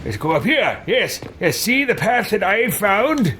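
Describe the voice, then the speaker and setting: A man's voice: high-pitched, big pitch swings, a little slow, pushed and bright, slight background noise, pitched above the performer's own voice, full character voice. A man voicing a haughty, bossy, self-satisfied wizard in a pompous, theatrical way as video game roleplay.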